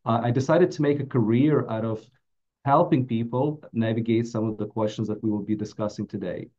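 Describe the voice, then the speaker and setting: Mellow man, dull audio. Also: medium pitch, fairly quick. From Latvia. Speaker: financial advisor presenting a webinar. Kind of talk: prepared webinar presentation. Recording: dull, muffled webinar audio.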